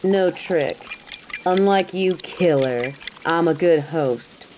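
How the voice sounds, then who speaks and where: A woman's voice: lowish voice, takes it slowly, darkish tone, a little hiss or hum. A woman playing a tough, sassy bounty hunter in an audio roleplay, speaking straight to the listener with dry, taunting, scolding bluntness. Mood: dry, sardonic. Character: tough, sardonic female bounty hunter, grudgingly hospitable, scolding. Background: rain.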